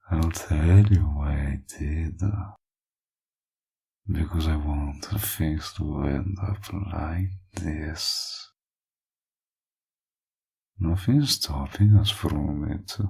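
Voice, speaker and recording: A man playing a flirtatious, possessive villain in an intimate roleplay audio, teasing and smug, with a husky, gravelly voice that often drops toward a murmur. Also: very deep voice, heavily acted.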